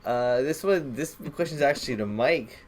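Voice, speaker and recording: A man's voice: mid-range voice, moderate pace, crowd noise. A man co-hosting a podcast, talking in an easygoing, crude way full of fillers. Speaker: podcast co-host. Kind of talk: casual chat.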